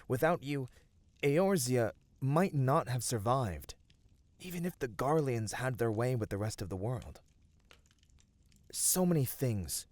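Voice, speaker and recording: A man voicing a gentle, noble fantasy adventurer in an ASMR roleplay, speaking soothingly and earnestly in formal, old-fashioned phrasing. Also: mid-range voice, no echo. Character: gentle, noble, reassuring male fantasy adventurer comforting a weary friend. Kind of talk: ASMR fantasy roleplay, scripted intimate voice acting.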